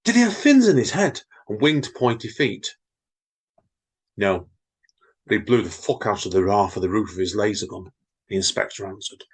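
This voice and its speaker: A man reads stories and limericks in a husky voice. His pitch swings widely as he chats and teases his co-host between readings. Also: normal speed, long pauses. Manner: lively, chatty, wry teasing. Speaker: podcast co-host and reader. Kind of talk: reading poems and casual chat.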